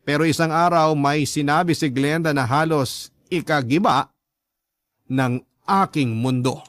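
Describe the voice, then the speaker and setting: A man's voice: fairly high pitch, measured pace, a bit bright, no room sound, studio quality. A man with a Filipino accent reading a first-person story as the narrator of a radio drama.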